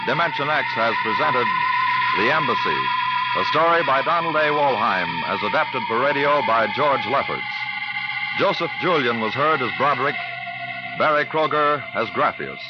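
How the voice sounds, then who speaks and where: 1950s radio announcer, male: formal, dramatic delivery, muffled sound, loud music behind. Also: mid-range voice, lively intonation, normal speed.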